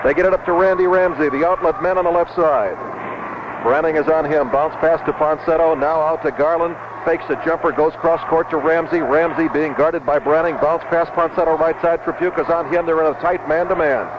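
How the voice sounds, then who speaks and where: A man's voice: on the high side, steady tone, a little fast. A man calling live basketball play-by-play as a radio announcer, in short clipped phrases. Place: basketball arena broadcast position. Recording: dull, noisy vintage 1970s radio broadcast.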